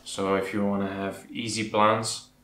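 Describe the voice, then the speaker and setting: A Dutch man with a fairly low voice speaks casually to his viewers, often pausing to think. His intonation stays very flat. The recording has a clear room echo and faint noise behind him. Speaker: host of an aquascaping channel. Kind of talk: casual question-and-answer talk to camera. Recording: roomy echo with background noise.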